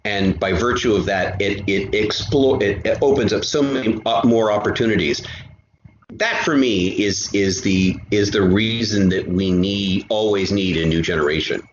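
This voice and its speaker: A man speaking as a podcast guest in an animated casual discussion, emphatic and often halting to repeat a phrase. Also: fairly low pitch. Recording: roomy, dull sound with faint noise.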